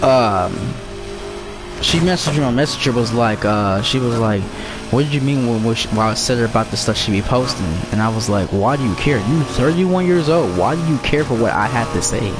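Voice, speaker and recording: A man's voice: mid-range voice, expressive, normal speed, background noise. A young man streaming a racing game, chatting loosely with friends and joking as he tells stories. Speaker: gaming streamer. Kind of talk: casual chat while playing a racing game.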